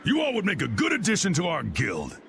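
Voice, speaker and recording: Game role: gruff old American chieftain, gravelly, folksy and commanding, very animated pitch. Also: male voice, brisk, noisy background, heavily acted. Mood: hearty, commanding. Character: blustery, hearty old male guild boss spoiling for a fight. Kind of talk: video game voice acting.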